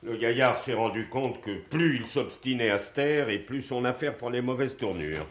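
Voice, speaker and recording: An actor playing a gruff, impatient police superintendent in a French radio play, speaking in a brusque, commanding way. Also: male voice, medium pitch, expressive, moderate pace, slight background noise, natural voice.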